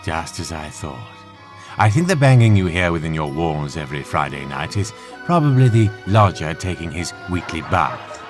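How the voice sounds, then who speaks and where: A man playing a calm, courteous, rational gentleman investigator in a fan audio drama, measured and politely dismissive. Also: low-pitched, faint music behind. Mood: confident.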